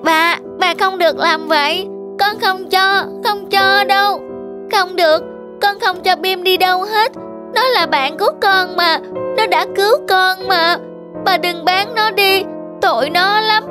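Cartoon role: small village boy, very high voice, childlike, affectionate, pleading; clear music underneath. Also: unhurried. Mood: upset, pleading. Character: affectionate, pleading little village boy attached to his dog. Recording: music behind the voice.

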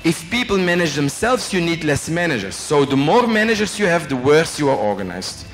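A middle-aged Belgian man with a French accent gives a casual, joking talk to a student audience through a handheld mic. His voice sits a little high for a man. The sound rings with the long reverb of a large hall, and faint music plays underneath. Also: sing-song, fairly quick, a bit bright. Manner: chatty, joking, asks the audience questions, adds "eh?" tags. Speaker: company executive giving a guest lecture. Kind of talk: informal business talk to students. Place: large hotel function room with a student audience. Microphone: handheld microphone.

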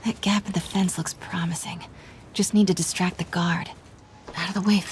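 An actress playing a bold, daring young female journalist who talks briskly and goes hushed when sneaking. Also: on the low side, fairly even intonation, noisy background, close to an everyday voice. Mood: sneaky.

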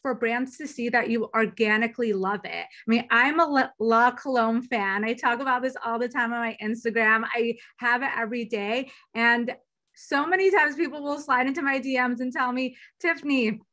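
A woman in her thirties talking as a guest in a fireside chat over a video call from a small room, upbeat and enthusiastic. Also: fairly high pitch. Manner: upbeat, enthusiastic, quick and lively. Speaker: platform executive guest. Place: small bedroom indoors. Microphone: laptop webcam video call.

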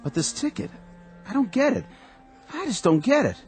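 An actor playing a down-on-his-luck former pitcher in a radio drama, humble and eager. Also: very animated, moderate pace, a little mellow. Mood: plain. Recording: radio play with music underneath.

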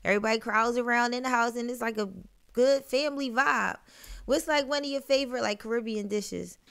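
A young woman, a DJ hosting an interview show, talking in a lively, chatty way on a studio mic. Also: on the high side, a little fast, vocal fry, smooth. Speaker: DJ and show host. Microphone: studio mic with headphones.

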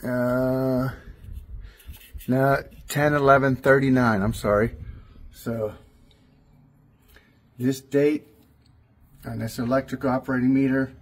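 A man's voice: mid-range voice, fairly even intonation, slow, pauses often. A man narrating casually while walking through an abandoned industrial site, plain-spoken and correcting himself as he goes. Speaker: urbex vlogger. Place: outdoors and in a large abandoned industrial building.

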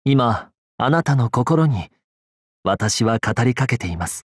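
Anime game mage: calm, enigmatic male wizard, soft breathy delivery, mid pitch, gentle and polite. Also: slow pace, dry recording, studio quality. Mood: calm, mysterious. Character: serene, mysterious male legendary wizard speaking telepathically. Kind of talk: Japanese anime game character voice acting.

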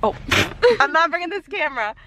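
A woman's voice: very high-pitched, very animated, normal speed. A young woman chatting casually as a vlogger in a car, speaking into a handheld camera at arm's length. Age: young adult. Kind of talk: casual vlog chat. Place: inside a car. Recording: heavy car and outdoor noise.

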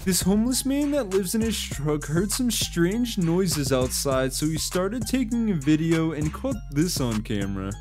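The high, lively male narrator you would hear over music in an online compilation of short viral clips. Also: unhurried, hardly any pauses. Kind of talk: scripted reaction narration.

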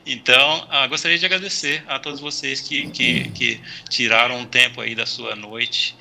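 A man's voice: mid-range voice, steady tone, normal speed, creaky, pushed and bright. A man, giving cheerful, hesitant farewell remarks over a headset video call from a small home room, with many fillers. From Brazil. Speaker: guest speaker on a video call.

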